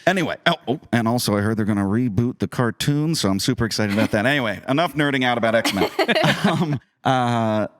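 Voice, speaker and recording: A man's voice: medium pitch, expressive, a little fast, few pauses. A man hosting a podcast, chatting casually with an enthusiastic, geeky way of talking. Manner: enthusiastic, geeky fan talk. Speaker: podcast host. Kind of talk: casual chat interview.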